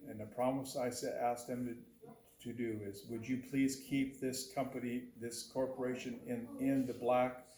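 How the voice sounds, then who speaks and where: A man's voice: mid-range voice, flat delivery, moderate pace, dark tone. An older man running for state senate, giving an earnest, plain-spoken campaign speech at a community meeting and leaning hard on key words. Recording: echoey hall, faint background noise.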